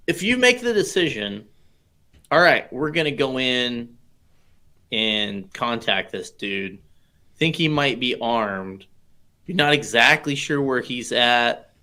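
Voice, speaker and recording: A man with a bright, forward voice co-hosts a casual livestream. He asks questions and talks his ideas through aloud at a middle pitch. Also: lively intonation, slow, long pauses.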